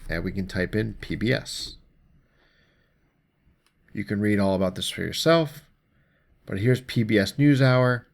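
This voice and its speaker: A man with a very low, creaky voice hosts a casual explainer. He talks through the news informally and conversationally, moving between reading aloud and offhand commentary. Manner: informal, conversational, mildly profane. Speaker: host of an explainer show.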